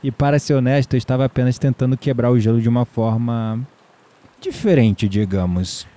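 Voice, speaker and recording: Um ator brasileiro interpretando um homem tranquilo, brincalhão e sedutor numa áudio série, em tom de conversa. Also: male voice, medium pitch, brisk, dark tone, close to an everyday voice. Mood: playful, teasing. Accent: Brazilian. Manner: teasing, chuckling, conversational. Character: easygoing, flirtatious man, herb grower, playful and warm. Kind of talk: audio drama dialogue.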